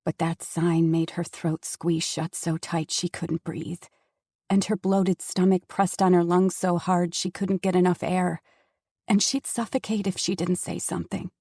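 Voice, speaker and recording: A woman's voice: fairly low pitch, flat delivery, measured pace, dark tone, husky, no room sound. A woman narrating an audiobook in a dramatic, intense storytelling manner on a close studio mic. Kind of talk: scripted narration.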